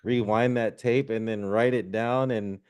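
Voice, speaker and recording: A man's voice: on the low side, steady tone, unhurried, a little mellow, clear, resonant tone. A middle-aged man who was born in Zambia and raised in Washington state, telling stories in a laid-back, slangy way. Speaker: guest musician and restaurant owner. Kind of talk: casual storytelling.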